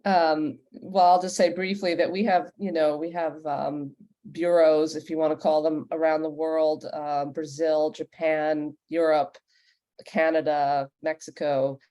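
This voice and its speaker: A woman's voice: low voice, level tone, unhurried, warm tone. A woman moderating a professional online panel and putting audience questions to the guests. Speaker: panel moderator and audio development executive.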